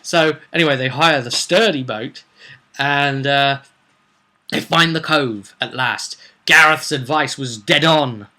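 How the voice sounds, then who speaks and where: Bright-voiced man rambling about his roleplay game. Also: mid-range voice, slow. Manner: chatty, slips into acted quotes of characters. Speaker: game master recounting a tabletop roleplay session. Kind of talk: casual rambling vlog storytelling.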